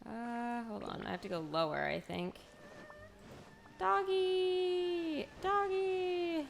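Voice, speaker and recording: Slow, creaky-voiced woman streamer. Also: very high-pitched, expressive, soft background music. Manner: thinking aloud, unhurried. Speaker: game streamer. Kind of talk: casual gameplay commentary.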